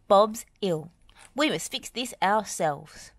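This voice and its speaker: A woman's voice: mid-range voice, lively intonation, slow, no room sound, pitched above the performer's own voice. A woman voicing a capable, earnest female team leader with an urgent, decisive manner in a children's storybook. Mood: concerned.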